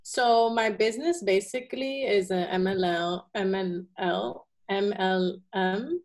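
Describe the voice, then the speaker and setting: A woman's voice: mid-range voice, measured pace, a little mellow. A young woman from Venezuela, a guest in a casual interview, talking earnestly with fillers and self-corrections.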